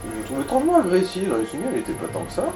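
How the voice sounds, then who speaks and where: Higher-pitched man chatting in a roomy space. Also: wildly swooping pitch, very fast. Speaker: let's play co-commentator. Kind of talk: casual gameplay chat. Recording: roomy echo, music behind.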